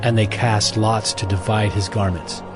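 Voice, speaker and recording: A man with a fairly low voice narrates a dramatized scripture audiobook. He reads solemnly and articulately at a measured pace. Music runs clearly beneath the narration. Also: steady tone. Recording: background music under narration.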